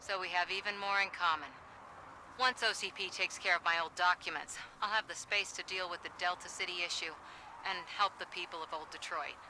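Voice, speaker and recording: An actress playing a determined, wary investigative reporter in a video game, with a pointed, challenging way of talking. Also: female voice, lowish voice, steady tone, fairly quick, background noise, close to an everyday voice. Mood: guarded, softening. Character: determined, defiant investigative reporter woman, wary and sharp-tongued.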